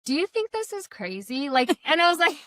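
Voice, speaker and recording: Chatty thirties woman co-host, bright, slightly high voice, dry close sound. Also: very animated, dry recording. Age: 30s. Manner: chatty, quick, laughs often. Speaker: podcast co-host. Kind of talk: casual chat among friends.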